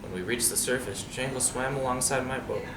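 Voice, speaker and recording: Guy reading a kids story out loud, really dramatic, echoey room with noise behind him. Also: medium pitch, fairly quick. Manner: expressive, dramatic. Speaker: storybook reader. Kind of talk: animated read-aloud story with asides to children. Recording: roomy, distant mic, noisy background.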